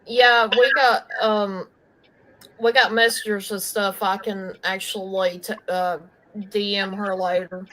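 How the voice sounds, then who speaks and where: A woman's voice: mid-range voice, flat delivery, slow pace, fairly bright tone, poor audio. A woman chatting casually on a livestream and teasing the host. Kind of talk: casual chat.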